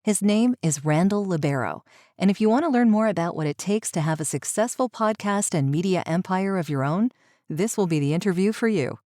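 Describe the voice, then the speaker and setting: A woman's voice: lowish voice, lively intonation, fairly quick, warm tone, no echo, clean studio sound. A woman speaking as a podcast host and interviewer. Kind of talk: scripted podcast introduction, then interview.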